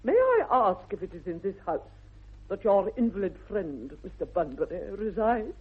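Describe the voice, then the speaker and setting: A formidable aristocratic English matriarch in an old radio comedy. Her upper-class voice is husky and swoops grandly as she declaims with haughty precision. The sound is narrow and tinny. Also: mid-range voice, very animated, normal speed. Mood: imperious disapproval. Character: imperious, formidable aristocratic English mother and aunt. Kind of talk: 1960s radio comedy acting. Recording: tinny, band-limited vintage radio recording.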